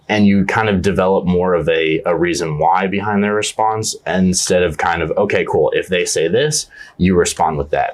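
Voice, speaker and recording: A young man with a low voice speaks as a sales team leader in a relaxed interview. He answers with eager, earnest energy and leans on casual fillers. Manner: earnest and enthusiastic, repeats "yeah, absolutely". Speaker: team leader in agent outreach.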